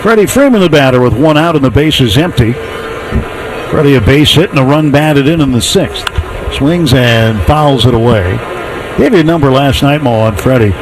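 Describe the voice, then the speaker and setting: A man with an American accent doing live radio play-by-play of a baseball game in measured, descriptive calls. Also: mid-range voice, expressive, noisy background. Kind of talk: live radio sports commentary.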